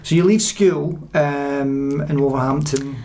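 A woman's voice: extremely low pitch, measured pace, mellow, a little hiss or hum. A woman interviewing a guest, asking questions and adding frequent short affirmations.

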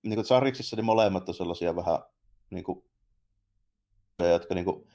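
A man's voice: low-pitched, dark tone. A man co-hosting a podcast, talking casually about films in a colloquial, rambling way. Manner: colloquial, rambling, loosely phrased. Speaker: podcast co-host. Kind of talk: casual film discussion between two co-hosts.

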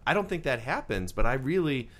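A man in a political discussion, speaking in a measured way, repeating words as he thinks. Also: mid-range voice, very animated, a bit bright. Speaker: panelist.

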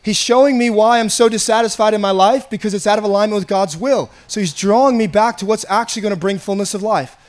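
A pastor with a raspy, slightly hoarse voice, preaching an earnest, conversational sermon and often repeating himself. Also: male voice, high voice, fast talker, hardly any pauses.

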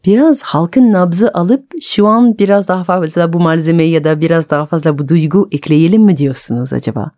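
A woman's voice: fairly low pitch, fairly quick, few pauses, warm tone, no room sound, studio quality. A woman from France with a French accent, a native French speaker, hosting a conversational radio interview with questions. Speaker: radio host, storyteller.

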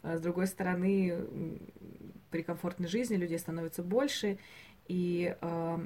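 A woman co-hosting a podcast in casual discussion, speaking in a thoughtful, halting way. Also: fairly low pitch, fairly even intonation, warm tone. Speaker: podcast co-host. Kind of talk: casual discussion of animated films.

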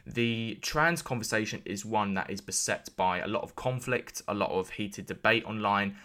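A man speaks as a podcast host with a fairly low pitch. His voice is bright and forward, and his wording is informal, suited to introducing and interviewing guests. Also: moderate pace. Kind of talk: scripted intro and casual interview.

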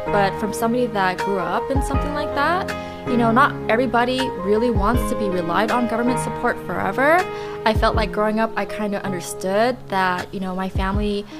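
A young woman guest on a podcast, speaking at an ordinary middle pitch. She talks thoughtfully and reflectively, pausing often and filling the gaps with soft fillers. Music runs behind her. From United States. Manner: reflective, with many pauses and fillers. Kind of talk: casual interview.

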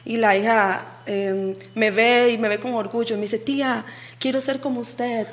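A woman's voice: a little high, steady tone, normal speed. A woman with a Costa Rican Spanish accent, chatting casually as an interview guest and acting out remarks as she tells stories. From Costa Rica. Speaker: guest, coffee business owner.

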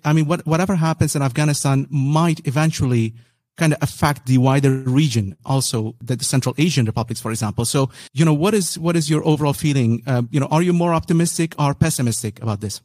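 A man's voice: on the high side, fast talker, darkish tone, no room sound. Podcast host, male, native Persian speaker, rambling with frequent restarts, dry close sound. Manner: rambling, many restarts and "you know" fillers. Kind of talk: casual interview conversation.

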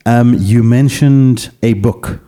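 A man hosting a podcast interview, talking haltingly and searching for words, then closing the show. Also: mid-range voice, dark tone. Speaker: podcast host.

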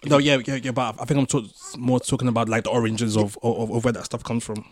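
A man's voice: mid-range voice, little pitch movement, fast. A man co-hosting a casual podcast discussion, hesitantly thinking aloud as he talks. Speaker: podcast co-host.

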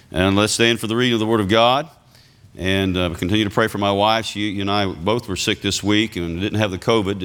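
A man, a visiting preacher whose voice is scratchy from illness, preaching in a folksy way and calling out amen to the congregation. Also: pushed and bright. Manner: reads scripture slowly with rising pitch and emphasis. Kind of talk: sermon.

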